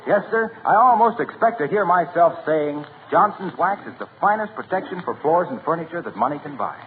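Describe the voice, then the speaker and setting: Man playing genial radio pitchman, highish voice, lively swings, polished salesmanship, 1940s comedy advertising. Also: fairly quick, lightly acted. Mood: proud, enthusiastic. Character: genial, eager wax pitchman friend. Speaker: show announcer delivering sponsor's ad. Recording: tinny 1940s radio recording, faint hiss.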